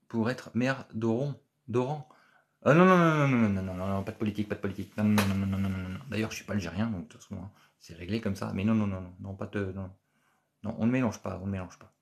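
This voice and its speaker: A man, hosting a casual live stream from a small office and answering viewer comments, chatty and repetitive with many filler pauses. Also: fairly low pitch, fairly even intonation. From France. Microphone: phone camera at arm's length.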